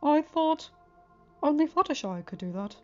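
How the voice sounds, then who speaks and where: A woman's voice: high voice, lively intonation, voice pushed up. Woman voicing a smug trickster chaos spirit. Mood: gloating. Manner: teasing, drawn-out, loud. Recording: slightly muffled with faint music.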